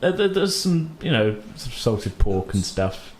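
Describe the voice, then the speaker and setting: A man's voice: mid-range voice, sing-song, measured pace, a little mellow. British man game mastering in an echoey room. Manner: informal, jokey, rambling. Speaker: game master and podcast host. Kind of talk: casual tabletop game narration. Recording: roomy echo with background noise.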